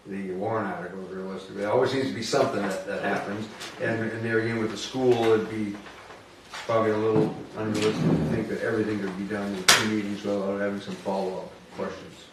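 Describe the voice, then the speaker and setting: A man leading an informal public meeting in a matter-of-fact, hedging way, talking things through with others. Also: fairly low pitch. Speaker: town budget committee member leading a meeting. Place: indoor meeting room, public town meeting. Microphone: distant room microphone. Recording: very echoey room, distant microphone, noisy.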